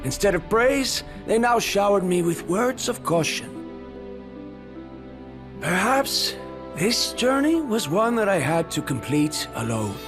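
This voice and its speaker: A proud old-time adventurer in an anime game. His fairly high voice moves expressively as he tells his tale with theatrical confidence, music clearly behind him. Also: big pitch swings, slow pace, heavily acted. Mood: wistful. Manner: storytelling, theatrical, self-assured. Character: proud, adventurous legendary male guide recounting his past. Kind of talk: anime-style video game narration.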